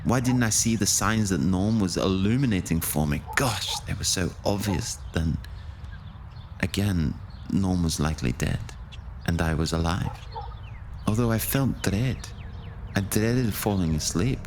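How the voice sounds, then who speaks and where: A man's voice: low-pitched, unhurried, a little mellow, a little hiss or hum. An actor playing a haunted, down-on-his-luck sailor, narrating an audio drama in a brooding, reflective manner. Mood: brooding dread. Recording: rain and thunder throughout.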